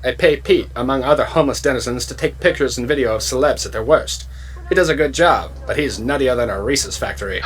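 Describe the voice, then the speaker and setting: A man's voice: medium pitch, fast, close to an everyday voice, low-quality recording. A man playing a brash, sarcastic tabloid reporter with old-fashioned slang in an audio drama. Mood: dismissive. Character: gruff, wisecracking old-time tabloid reporter, brash and insulting.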